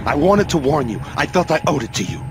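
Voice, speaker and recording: A man's voice: fairly high pitch, big pitch swings, quick pace, background noise. An actor playing a tense, desperate male fugitive in a video game cutscene, giving urgent, clipped warnings. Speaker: game character.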